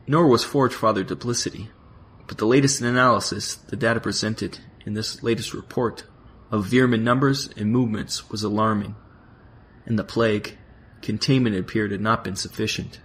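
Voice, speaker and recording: A man narrates a science-fiction audio drama in a fairly low voice. He reads scripted prose, with faint noise and ambience behind him. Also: a bit bright.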